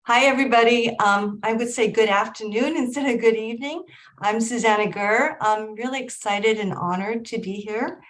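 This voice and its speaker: Middle-aged woman from Hong Kong, measured researcher on a video call panel. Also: fairly high pitch, fairly bright tone. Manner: measured, with frequent ums. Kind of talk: panel discussion. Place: home or office in Vancouver.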